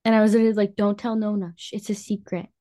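A woman's voice: mid-range voice, flat delivery, very fast, dark tone. A woman co-hosting a podcast, talking about books in an informal, conversational style with casual slang. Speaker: podcast co-host. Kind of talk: casual book chat.

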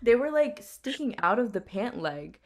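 A woman's voice: a little high, very animated, normal speed, darkish tone. A young woman co-hosting a podcast, chatting casually with lots of fillers and casual swearing. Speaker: podcast co-host. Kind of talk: casual chat.

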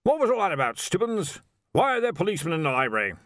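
A man voicing a pompous, blustering old wizard who heads a university, with a booming, imperious manner, in a storybook reading. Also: very animated, quick pace, a bit bright, no room sound, studio quality. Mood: indignant, imperious.

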